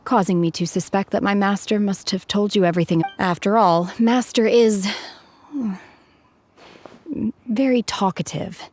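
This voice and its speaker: A woman's voice: mid-range voice, normal speed, darkish tone, faint music behind, close to an everyday voice. An actress playing a reserved, detached young woman who speaks formally and evenly. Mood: calm. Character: aloof, reserved young woman trained by an immortal master. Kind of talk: game voice acting.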